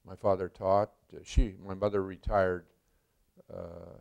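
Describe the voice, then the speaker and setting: An older man from Milwaukee, a retired chemistry professor, recalling his life in an oral history interview, speaking slowly with many pauses and fillers. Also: sits low, flat delivery, vocal fry, darkish tone, lo-fi sound. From Milwaukee, Wisconsin.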